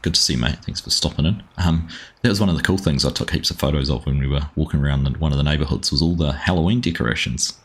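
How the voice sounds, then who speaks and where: A New Zealand man with a very deep, husky voice hosts a relaxed gaming livestream. He rambles easily between the game and his chat, greeting viewers by name. His talk is loose and unhurried, with plenty of fillers. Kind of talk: casual live-stream chat while playing.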